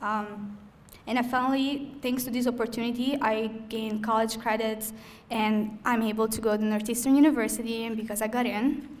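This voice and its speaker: Young woman with an Italian accent, a student speaking at a ceremony; earnest, a touch halting, pitched a little high. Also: flat delivery. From Italy. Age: young adult. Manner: earnest, slightly halting, with frequent "um" pauses. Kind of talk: prepared ceremony speech. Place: event hall.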